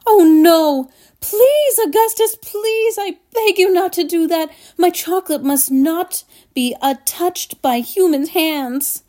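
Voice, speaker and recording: A woman's voice: extremely high pitch, slow, hardly any pauses, dark tone, pitched above the performer's own voice. A woman voicing an anxious, fussy male factory owner in a children's storybook, pleading with emphatic exclamations. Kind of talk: children's storybook read-aloud.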